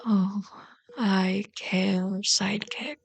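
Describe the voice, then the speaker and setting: A woman playing a bitter, lovelorn villain in an intimate ASMR roleplay, deliberate and intense, often slipping into breathy whispers. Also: mid-range voice, steady tone, exaggerated voice. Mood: tender, intense. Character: bitter, lovelorn villain pleading with a hero's wounded sidekick.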